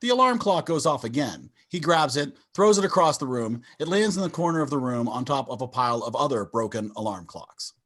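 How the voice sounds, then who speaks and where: A man's voice: mid-range voice, big pitch swings, fairly quick, few pauses, a bit bright. A man reading scripted stage directions as narrator of a table read over a video call.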